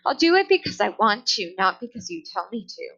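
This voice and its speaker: A woman voicing a rude, bossy female pet parrot in a children's storybook, yelling repetitive, mocking phrases on a dull recording. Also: mid-range voice, very animated, brisk, breathy edge, full character voice. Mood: defiant. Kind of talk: children's storybook read-aloud. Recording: dull, lo-fi sound.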